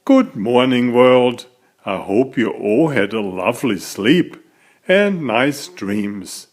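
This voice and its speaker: A man's voice: medium pitch, animated, slow. A man voicing an elegant, friendly storybook tomcat who takes charge, speaking in an emphatic, declaiming way. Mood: cheerful greeting.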